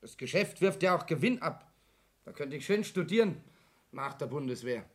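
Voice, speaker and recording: Hesitant young heir. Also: male voice, on the high side, lively intonation, moderate pace. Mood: defensive, insistent. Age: young adult. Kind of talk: radio drama acting.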